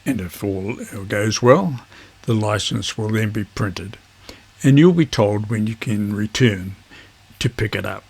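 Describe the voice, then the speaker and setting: Husky low-voiced man, measured delivery. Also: darkish tone. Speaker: amateur radio video host. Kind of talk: instructional vlog narration.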